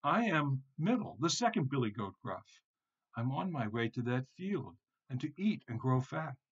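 Guy doing a middle billy goat, soft n timid, bit high, pleading, kids story voice. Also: big pitch swings, normal speed, breathy edge, dull audio. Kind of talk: children's storybook character voice.

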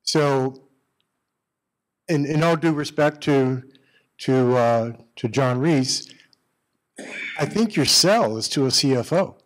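A man's voice: medium pitch, takes it slowly, long pauses, poor audio. A middle-aged man in the audience at a panel, speaking on a handheld mic in a conference room, giving candid advice with many restarts and repeated words. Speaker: audience member, data center executive.

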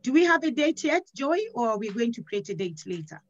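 A woman's voice: fairly high pitch, sing-song, fairly quick, no room sound, soft background music. A woman hosting an online meeting who politely thanks people and moves things along. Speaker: host. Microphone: video call. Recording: dull, dry video call audio.

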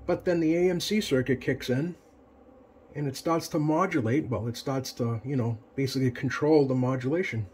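A man's voice: mid-range voice, moderate pace, darkish tone, husky. A man giving a casual, unscripted repair demonstration in a plain-spoken, hesitant way, like a technician at his bench. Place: indoor home electronics workbench. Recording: noticeable background noise, workbench room.

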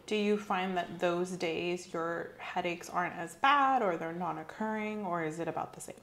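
A woman in her thirties, soft-spoken and methodical, playing a medical examiner in an ASMR roleplay. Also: on the low side, normal speed. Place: small indoor room set up as an exam room. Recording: clear steady background noise and room ambience.

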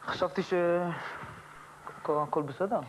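A man's voice: sits high, background noise. A man playing a footballer guest in a comedy sketch, defiant and unapologetic.

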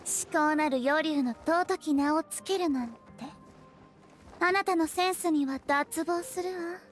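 A woman's voice: high-pitched, measured pace, soft background music. A woman voicing a grandiose teenage girl in a video game, declaiming theatrically like a princess. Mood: impressed. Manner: pompous, archaic, declamatory. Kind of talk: Japanese game voice acting.

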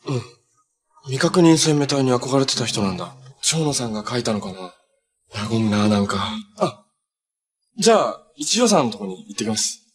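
An actor playing a cheerful, earnest young superhero in a live-action TV drama, polite and easygoing. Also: male voice, medium pitch, very animated, normal speed, breathy edge. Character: cheerful, earnest young male hero who fights monsters.

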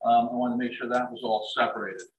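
A middle-aged chef giving a live cooking demonstration in a large home kitchen. He explains each step in a relaxed way, with plenty of "um" and "like I said". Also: mid-range voice, fast talker. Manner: relaxed, step-by-step instructions with frequent "um" and "like I said". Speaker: chef and dining services director. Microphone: fixed camera across the counter. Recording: echoey kitchen, voice from a distance, faint hiss. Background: turkey sizzling in a pan.

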